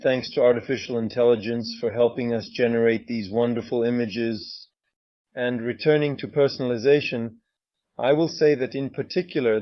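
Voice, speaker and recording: A man's voice: mid-range voice, dry recording, dull audio. A man presenting a game's screens in a walkthrough, sometimes hesitant as he searches. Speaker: game presenter.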